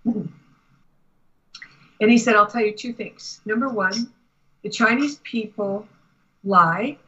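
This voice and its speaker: An American woman talking conversationally as a guest spiritual teacher, explaining and telling anecdotes in a measured, deliberate way. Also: mid-range voice, pushed and bright, breathy edge, highs cut off. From United States. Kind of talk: conversational interview talk with anecdotes.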